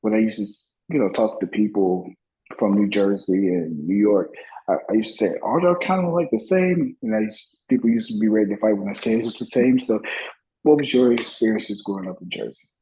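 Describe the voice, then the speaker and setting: American guy hosting an interview show, kinda low husky voice, talks in halting bits. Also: dark tone, low-quality recording. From Florida, United States. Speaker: music show host. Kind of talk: conversational interview.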